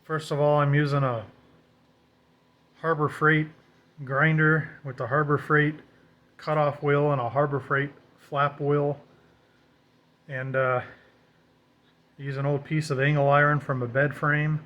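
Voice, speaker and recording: An American man with a voice a little higher than most men's, giving an informal show-and-tell. He talks off the cuff in a folksy, self-deprecating way, like a hobbyist addressing his viewers. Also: level tone, a little slow, pauses often, lo-fi sound. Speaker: DIY vlogger. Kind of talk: casual off-the-cuff show-and-tell of a homemade project.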